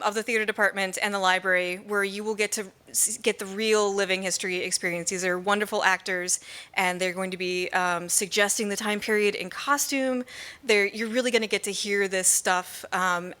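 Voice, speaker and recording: Bright-voiced American woman presenting informally. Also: mid-range voice. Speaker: librarian presenting a project. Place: indoor stage at a library event. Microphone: microphone on a stand.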